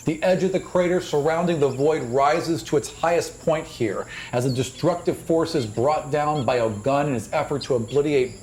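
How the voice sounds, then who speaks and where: A man's voice: medium pitch, darkish tone. A man running a tabletop fantasy game as game master, mixing dramatic, descriptive narration with casual asides. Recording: clear background noise, insect ambience.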